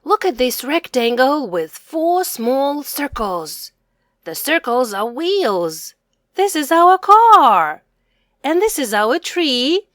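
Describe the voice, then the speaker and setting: A woman voicing an excited, clever little boy in an eager, exclaiming storybook read-aloud. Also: high voice, slow pace, no echo, clean studio sound, exaggerated voice. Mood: figuring out.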